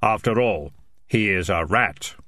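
Male narrator as solemn grim reaper, very slow, measured, formal, dry, storybook character. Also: lowish voice, sharp tone. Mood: dry surprise.

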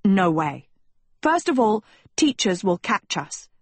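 A woman's voice: mid-range voice, sing-song, takes it slowly, slightly rough, no echo, exaggerated voice. A woman voicing a grumpy, stubborn teenage girl in a storybook, curt and snappish in manner. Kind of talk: acted storybook dialogue.

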